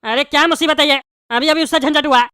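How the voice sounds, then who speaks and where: Woman-voiced high, fast-talking angry jailed father. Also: bright, forceful tone, no echo, studio quality. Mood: irritated. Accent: eastern Indian (Bihari-style) Hindi. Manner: hot-tempered, scolding, bossy, colloquial. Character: angry scheming jailed father, bald cartoon character. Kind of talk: comedy cartoon dialogue. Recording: clearly noisy background.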